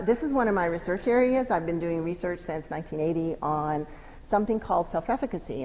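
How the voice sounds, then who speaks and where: Woman, psychology professor, emphatic conversational lecture, faint background hiss, reverberant hall. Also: lowish voice. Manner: lively, emphatic, conversational teaching. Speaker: psychology professor giving a talk. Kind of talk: lecture presentation.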